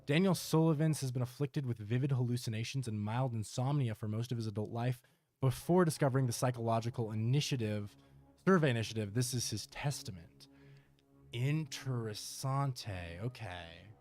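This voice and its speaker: A man's voice: mid-range voice, moderate pace, a little mellow. A man doing casual live reaction commentary as a streamer, with a joking, sarcastic manner. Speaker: streamer reacting to horror videos.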